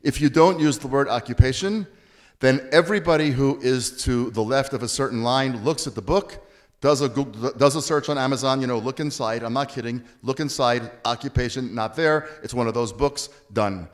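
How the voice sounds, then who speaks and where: A man's voice: medium pitch, normal speed. A man from the United States answering questions on a discussion panel, speaking earnestly with dry, self-deprecating asides. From Baltimore, USA.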